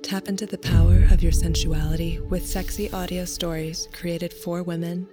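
A woman's voice: on the low side, level tone, normal speed, over loud music. A woman announcer giving a scripted sponsor read and show introduction.

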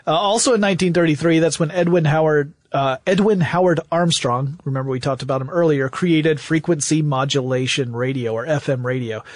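A man with a fairly high voice explains history as a show co-host. His delivery is conversational and story-driven, leaning on repeated words for emphasis. The sound is dry, with very little room in it. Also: a little fast, hardly any pauses, dry recording. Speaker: podcast co-host.

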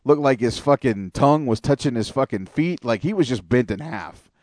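A podcast co-host rambles through wrestling results, dropping curse words into nearly every sentence. His voice sits at a middling pitch for a man, and it is recorded dry, with no room sound around it. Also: lively intonation, moderate pace, darkish tone, no echo. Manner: profane, opinionated, rambling. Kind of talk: casual wrestling chat.

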